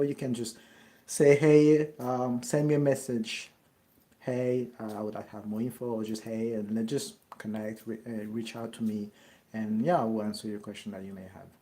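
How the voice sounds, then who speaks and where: A man's voice: mid-range voice, mellow. A young man from France with a French accent, answering viewer questions in a casual monologue, with many fillers and restarts. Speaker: network marketing creator answering questions.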